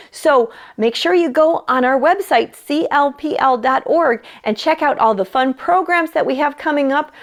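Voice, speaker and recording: A woman presenting a children's library storytime, chatting and reading aloud in a playful, enthusiastic way. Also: high voice.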